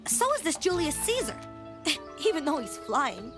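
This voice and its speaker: Woman as an animated, high-voiced eager youngster. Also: unhurried, over music. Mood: upbeat.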